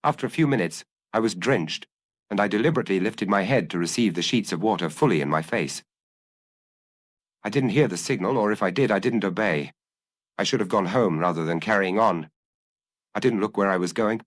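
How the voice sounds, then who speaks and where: A man's voice: fairly low pitch, expressive, brisk, dry recording. Man reading as an anguished, confessing killer, widely swinging voice. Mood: troubled. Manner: earnest, self-analyzing, confessional. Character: anguished young male killer confessing to his compulsion. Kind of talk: dramatic audiobook reading.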